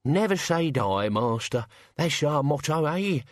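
A man puts on a cheerful, cheeky servant hidden behind sacks, munching as he talks. The voice sits higher than normal and bounces along. Storybook sidekick, always upbeat. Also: animated, a little slow, no echo, studio quality. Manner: cheerful, mouth full. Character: cheeky male servant, chatty, eating.

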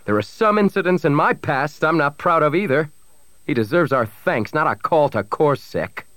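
A man's voice: a little high, expressive, normal speed, a bit bright, no echo, pitched above the performer's own voice. A man voicing a stern, fair-minded older father who speaks with firm command in a story.

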